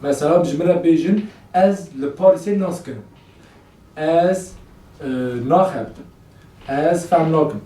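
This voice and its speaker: A man's voice: a little high, a little fast, mellow. A man teaching French in a classroom, drilling phrases with students and switching between languages as he explains. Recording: echoey noisy classroom, distant mic.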